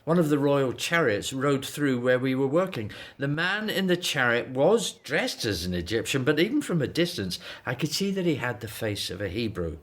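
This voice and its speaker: Weary aging father, expressively pitched. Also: sing-song, normal speed, hardly any pauses, fairly bright tone. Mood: hesitant, wondering. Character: weary aging Hebrew slave father, patient and devout. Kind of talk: radio drama acting.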